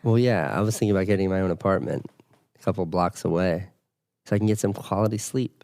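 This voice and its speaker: A man's voice: low voice, fast talker, darkish tone, dry recording. A man in his thirties co-hosting a casual podcast with his wife, dry and teasing. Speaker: podcast co-host. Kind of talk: casual couple chat. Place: home in New York City.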